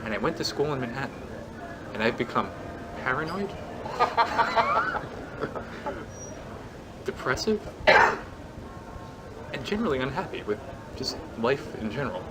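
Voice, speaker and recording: A man's voice: big pitch swings, slow, pauses often. A man speaking casually in a hesitant, rambling way about himself. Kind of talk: casual monologue. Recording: loud background noise, vehicle-like rumble.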